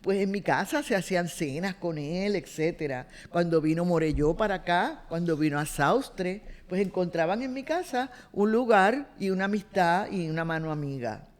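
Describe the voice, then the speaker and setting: A woman in her seventies with a Puerto Rican accent, recalling her family in an oral history interview, with unhurried fillers. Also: lowish voice, lively intonation. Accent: Puerto Rican Spanish. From Puerto Rico. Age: 70s. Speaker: interviewee, retired cultural director. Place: boardroom.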